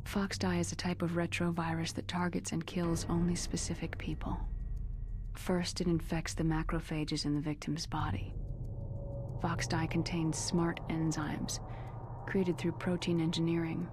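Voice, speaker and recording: An actress playing a vengeful, grieving young woman scientist in a video game, speaking softly and breathily in a solemn, confessional way. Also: lowish voice, little pitch movement, unhurried, background noise, natural voice. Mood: grave, clinical. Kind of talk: dramatic video game cutscene voice acting.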